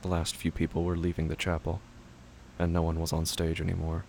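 A man narrating scripted horror fiction in a measured, somber, deliberate manner. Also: low voice, monotone, vocal fry, darkish tone, slight background noise.

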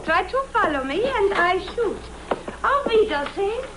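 Cunning female spy, high precise voice, smug, vintage radio drama. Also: very animated, a little slow, full character voice. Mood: smug, menacing. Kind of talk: 1950s radio drama acting. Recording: slightly noisy vintage radio recording.

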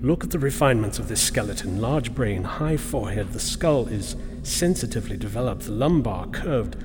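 An actor playing an analytical, grimly fascinated expedition doctor in a radio drama, speaking in a formal, precise, lecturing way. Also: male voice, medium pitch, animated, moderate pace, noisy background, natural voice. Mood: fascinated, clinical. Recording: cavernous reverb, music underneath.

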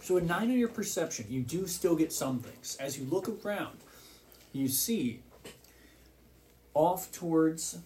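A man's voice: on the high side, animated, a little slow, long pauses, darkish tone, slight background noise, rough recording. A man acting as game master, narrating a tabletop game with descriptive scene-setting.

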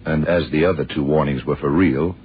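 Casting: cool, laconic radio-play detective, extremely low male voice, dull vintage sound. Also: steady tone, brisk. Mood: cool, probing. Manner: terse, laid-back questioning. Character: calm, shrewd male blind detective. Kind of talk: 1970s radio crime drama acting. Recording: muffled old radio recording, faint hiss.

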